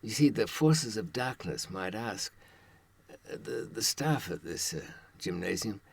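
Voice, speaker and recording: Deep-voiced older man, wry and dry. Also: lively intonation, a little slow, gravelly, breathy edge, dry recording. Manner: wry, dryly sardonic, rhetorical asides. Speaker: true-crime advice presenter and author. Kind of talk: conversational advisory monologue to the audience.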